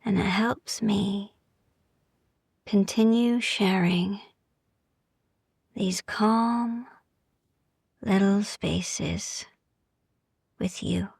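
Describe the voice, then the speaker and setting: A woman guiding a sleep meditation in a soft, gentle, soothing ASMR style, hushed and often slipping into whispers, with long pauses. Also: medium pitch, fairly even intonation, creaky, slightly rough, dry recording, clean studio sound. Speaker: sleep meditation podcast host.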